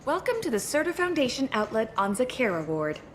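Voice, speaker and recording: A woman's voice: on the high side, sing-song, brisk, a little hiss or hum. A woman playing a courteous, earnest medical store clerk in a video game, speaking formally and politely.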